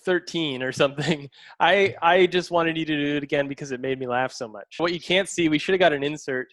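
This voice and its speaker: Male director, behind-the-scenes commentary, slightly high voice, dry close sound. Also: fairly quick, a bit bright, no echo. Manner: enthusiastic, explains choices, frequent fillers.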